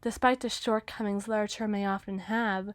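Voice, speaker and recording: A woman's voice: medium pitch, steady tone, fairly quick, no echo, natural voice. A woman playing a gushing, obsessively lovestruck teenage girl writer in an ASMR roleplay monologue, flustered and rambling. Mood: intense, longing.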